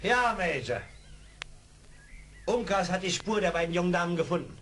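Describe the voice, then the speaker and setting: Casting: brave frontier scout for adventure radio play, animated pitch, direct, assured, some background noise. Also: male voice, moderate pace. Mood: urgent, reassuring. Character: seasoned frontier scout, brave and plain-spoken. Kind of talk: 1970s German audio drama acting.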